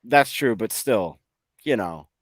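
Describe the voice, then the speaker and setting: A man's voice: on the low side, lively intonation, slow, slight background noise. A man co-hosting a sports podcast, chatting casually about hockey in a rambling, hesitant way, thinking aloud. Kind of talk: casual hockey fan chat.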